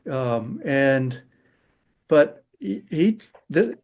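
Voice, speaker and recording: A man's voice: fairly high pitch, very animated, drawn-out words, vocal fry, a little mellow. A man chatting casually as a participant in an online group call.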